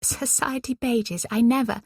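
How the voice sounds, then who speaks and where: A woman voicing a bubbly, cheeky young wartime nurse who talks briskly and teases her friend. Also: fairly high pitch, fairly even intonation, slightly rough, no room sound, studio quality, pitched above the performer's own voice. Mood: teasing. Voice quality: brisk, teasing, exuberant.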